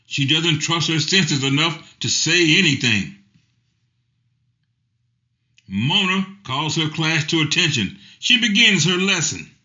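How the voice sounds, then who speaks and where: Very bright-toned male narrator. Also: a little high, expressive, measured pace. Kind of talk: scripted narration. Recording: dull, lo-fi sound.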